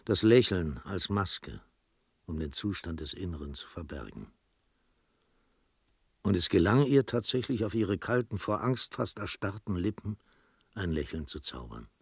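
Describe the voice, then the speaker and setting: Male narrator for literary radio drama: lower voice, scripted storytelling, close dry sound. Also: lively intonation, normal speed, slightly rough, no room sound.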